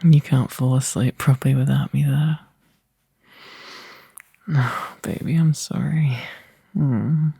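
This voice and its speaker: Breathy, slow, sleepy boyfriend acted by a man. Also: medium pitch, fairly even intonation, warm tone, exaggerated voice. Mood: tender. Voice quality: breathy, husky, often whispering. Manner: halting, drowsy, sighing delivery. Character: tired, overworked young boyfriend, tender and appreciative. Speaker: ASMR roleplay performer. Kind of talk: soft, intimate ASMR boyfriend roleplay. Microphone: close microphone, dry.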